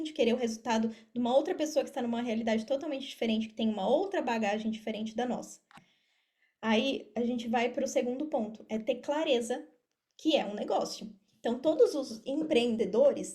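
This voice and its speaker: High-voiced Brazilian woman explaining casually. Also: warm tone. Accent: Brazilian Portuguese. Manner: explanatory, repeats phrases for emphasis. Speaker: podcast guest, affiliate marketer. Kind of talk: casual interview talk.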